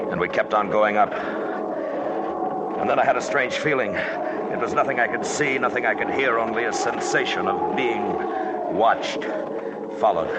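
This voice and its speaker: An actor playing a tough, resolute mountain climber in a 1950s radio drama, with somber, suspenseful dramatic phrasing, on a very noisy old recording. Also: male voice, lowish voice, close to an everyday voice. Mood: somber, ominous. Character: tough, resolute male mountain climber recounting a doomed expedition.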